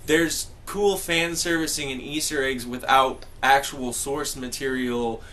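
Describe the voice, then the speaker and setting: A man's voice: mid-range voice, fairly even intonation, measured pace, bright, forceful tone. A man in a casual group discussion, talking in a rambling, emphatic way with plenty of slang. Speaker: podcast panelist.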